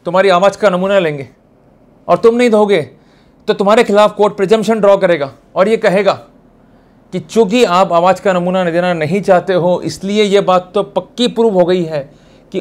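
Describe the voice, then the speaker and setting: An Indian man with a notably high voice presents a legal lecture. He speaks directly to the listener in an emphatic, exhorting way, posing rhetorical questions and mixing in English terms. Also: normal speed. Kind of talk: motivational legal lecture in colloquial Hindi mixed with English terms.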